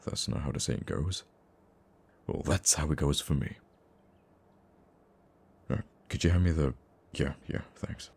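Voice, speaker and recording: A man playing a gruff, teasing supervillain with a secret soft side in an intimate ASMR roleplay, dry and grumbling but affectionate. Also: a little slow, pauses often, heavily acted. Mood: dry, reassuring. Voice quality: very deep, gravelly.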